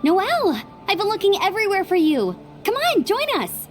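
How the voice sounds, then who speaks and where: A woman playing a lively, friendly girl in a fantasy video game dub, speaking in eager exclamations. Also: very high voice, moderate pace, heavily acted. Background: game music.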